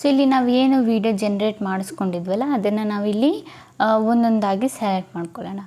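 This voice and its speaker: A woman's voice: mid-range voice, a little fast, mellow. A woman giving a casual step-by-step tutorial, often checking in with her listeners. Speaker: tutorial host.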